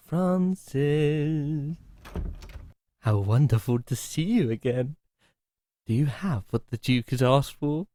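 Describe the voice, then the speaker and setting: Guy doing a slow teasing creepy servant voice, mellow tone, big pitch swings. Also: no echo. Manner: theatrical, playful and sinister, swooping pitch. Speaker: servant called Happiness greeting a captive. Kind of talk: ASMR roleplay acting.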